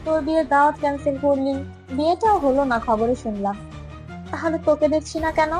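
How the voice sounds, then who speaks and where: A high voice over music: a woman playing a pushy young best friend in an audio drama. She is chatty and familiar, scolding and insisting as close friends do. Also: a little fast, a little mellow, natural voice. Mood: teasing, insistent. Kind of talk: audio story acting.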